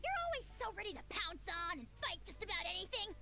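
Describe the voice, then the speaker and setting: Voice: very high-pitched, sing-song, fast, creaky, exaggerated voice. An actor voicing a tiny, boastful male dragon spirit who talks in a bossy, blustering way in a game cutscene. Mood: mocking. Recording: game music under dialogue.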